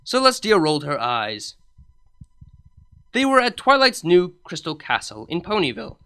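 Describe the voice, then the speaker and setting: A woman's voice: lowish voice, very animated, moderate pace, fairly bright tone. A woman reading a story aloud as narrator, in a scripted storytelling style. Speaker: fan fiction reader, narrator.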